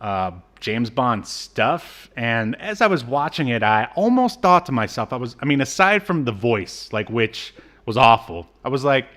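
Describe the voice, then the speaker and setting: A man's voice: medium pitch, sing-song, measured pace, a bit bright. A man co-hosting a podcast, casually recapping an episode with wry, sarcastic asides. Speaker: podcast co-host.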